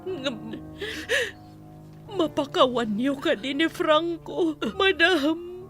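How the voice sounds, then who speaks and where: A woman's voice: high voice, very slow, over music. A woman with an Ilocano accent playing a grieving, devoted mother of a prisoner in a radio drama. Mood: tearful.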